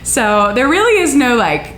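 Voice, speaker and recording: A woman's voice: a little high, very animated, moderate pace, fairly bright tone. A friendly, upbeat woman telling casual anecdotes as an interview guest. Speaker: interview guest, community theater member. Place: on the stage of a small theater. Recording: roomy echo, faint background noise.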